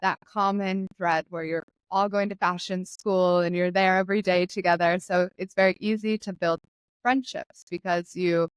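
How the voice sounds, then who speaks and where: A woman's voice: fairly low pitch, normal speed, smooth, no echo, rough recording. Young woman host, casual and chatty with easy laughs, dry close-mic sound. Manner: relaxed and chatty, laughs often. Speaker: podcast host. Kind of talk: casual conversational chat.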